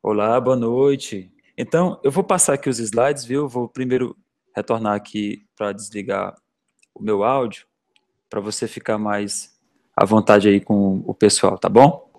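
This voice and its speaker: A man's voice: fairly low pitch, unhurried, a little mellow. A man hosting an online traffic-safety talk, explaining things steadily with frequent "é" and "né" fillers. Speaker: traffic-safety webinar host. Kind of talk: instructional talk.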